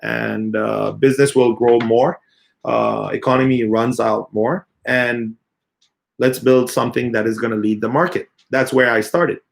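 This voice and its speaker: A man in his thirties, a company CEO, giving a halting, earnest unscripted answer full of filler sounds. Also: medium pitch, fairly even intonation, creaky. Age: 30s. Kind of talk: unscripted spoken answer in an AMA.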